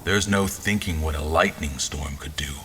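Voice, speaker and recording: A man's voice: a bass voice, normal speed, noisy background. A man playing a haughty royal officer, narrating an audio drama in a dry, formal manner. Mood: disdainful. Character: haughty, observant male royal officer recounting events. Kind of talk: scripted first-person narration.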